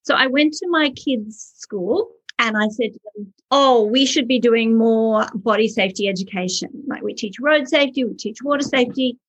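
A woman's voice: on the high side, moderate pace, fairly bright tone, rough recording. A woman author and early childhood educator, answering podcast interview questions with earnest, passionate storytelling.

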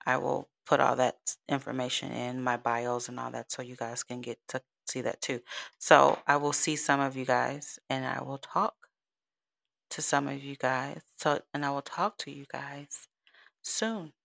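A woman's voice: very deep voice, steady tone, a little slow, vocal fry, a bit bright. A woman, hosting a rambling, giggly solo podcast in a soothing voice. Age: 30s. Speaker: podcast host. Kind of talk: casual solo podcast chat.

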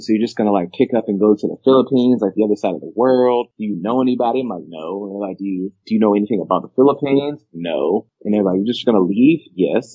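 A man's voice: lowish voice, breathy edge. A young adult man from Baltimore, a podcast guest, telling travel stories in a laid-back, chatty way and often repeating words as he goes. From Baltimore, USA. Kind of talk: casual interview chat. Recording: dull lo-fi sound.

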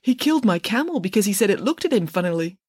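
A woman's voice: mid-range voice, sing-song, fast talker, a little mellow, slightly rough, no echo, studio quality, pitched above the performer's own voice. Woman playing a naive foreign storybook king, formal manner. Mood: sheepish. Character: foreign king, polite, naive, slightly pompous.

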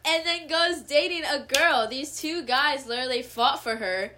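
A young woman chatting casually, her voice sitting a little high as she rambles through fillers and gets worked up over shows she loves. There's a faint hiss of noise under her. She keeps circling back on her own sentences. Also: normal speed. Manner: rambling, filler-heavy, excitable. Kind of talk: casual chat.

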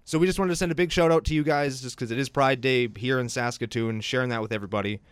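A man's voice: mid-range voice, fairly even intonation, fast, clear, resonant tone, dry recording. A man co-hosting a casual podcast, joking and teasing in a dry way. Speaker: podcast co-host. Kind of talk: casual chat.

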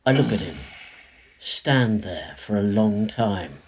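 An actor playing an anxious man who narrates his own ordeal in a slow, descriptive literary audio story, with a husky voice. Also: on the low side, lively intonation, a little mellow, a little hiss or hum. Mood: dread. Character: anxious male former game show host, narrating his own ordeal.

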